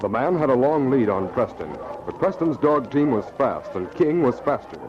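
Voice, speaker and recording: A man's voice: medium pitch, big pitch swings, moderate pace, lightly acted. A man narrating a frontier adventure serial as a vintage radio announcer, grand and theatrical. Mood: suspenseful. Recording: muffled, noisy old radio recording.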